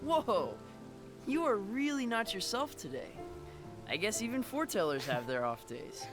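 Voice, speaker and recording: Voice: mid-range voice, sing-song, moderate pace, over music, close to an everyday voice. An actor playing a cheerful, cheeky teenage boy in a video game, breezy and informal. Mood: teasing. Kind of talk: video game cutscene acting.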